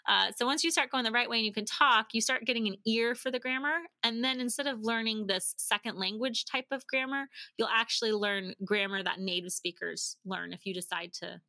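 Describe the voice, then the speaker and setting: A woman with a bright, forward voice on the higher side. She speaks as an interview guest handing out practical advice, in a chatty, animated and informal way. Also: a little fast, hardly any pauses. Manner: chatty, animated, laughs often. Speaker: podcast guest, language-learning company founder. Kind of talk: casual interview, giving advice.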